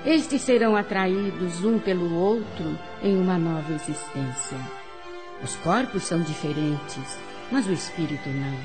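A woman reading radio drama narration in a solemn, declamatory way. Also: medium pitch. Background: music.

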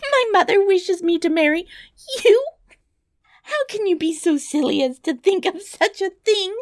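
A woman's voice: sits high, unhurried, no room sound, studio quality, pitched above the performer's own voice. A woman voicing a proud young princess in a fairy tale, plaintive and exclamatory in her delivery. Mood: shocked scorn. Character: young proud princess, anxious, emotional, indignant. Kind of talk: fairy tale storybook reading.

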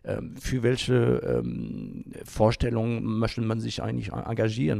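A man giving unscripted interview answers, haltingly, often pausing and searching for his words. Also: mid-range voice, creaky, warm tone, dry recording, studio quality. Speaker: interview guest, environmental group founder.

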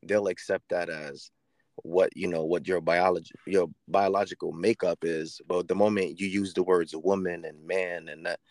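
A man co-hosting a podcast argues his points earnestly and keeps restarting his sentences. His voice is very deep. The sound is dry and close-miked. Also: fairly even intonation, moderate pace, no room sound. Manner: earnest, argumentative, hesitant restarts. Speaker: podcast co-host. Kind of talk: casual podcast chat.

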